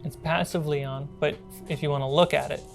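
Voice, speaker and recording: A woman's voice: sits low, fairly even intonation, fast talker, vocal fry, faint music behind. A woman running a tabletop roleplaying game, improvising scene descriptions as game master.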